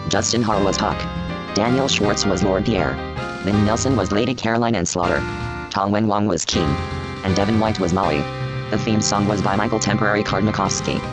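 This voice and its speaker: Low-voiced man reading credits over loud guitar. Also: brisk. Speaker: credits announcer. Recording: loud guitar music under voice.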